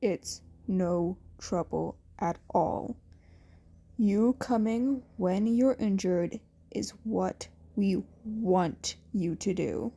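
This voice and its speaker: A woman voicing a gruff, weary but caring adult male mentor, firm and reassuring, in a fan fiction reading. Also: drawn-out words, dark tone, heavily acted. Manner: firm, low-key, reassuring.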